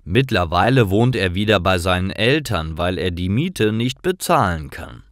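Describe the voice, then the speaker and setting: A man reading scripted stories for language learners in careful, clearly separated short phrases. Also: deep voice, expressive, normal speed, fairly bright tone, no room sound, studio quality. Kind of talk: scripted story narration for German learners.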